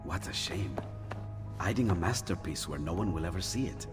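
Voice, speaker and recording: A man playing a calm, concerned friend in a video game, speaking in a measured, wry way. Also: sits low. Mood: subdued concern. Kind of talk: dramatic video game acting. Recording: long reverb under loud music.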